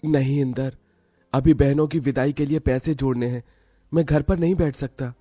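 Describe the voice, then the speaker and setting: A man's voice: mid-range voice, fairly even intonation, moderate pace, warm tone, dry recording, faint music behind, natural voice. A man voicing two poor, devoted older brothers in a cartoon, speaking in an earnest, emotional way. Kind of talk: cartoon voice acting.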